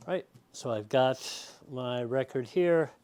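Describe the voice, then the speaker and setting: A man's voice: medium pitch, slow pace, darkish tone, clean tone. A man giving a casual, patient step-by-step how-to demonstration, explaining as he goes. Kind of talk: casual step-by-step tutorial talk.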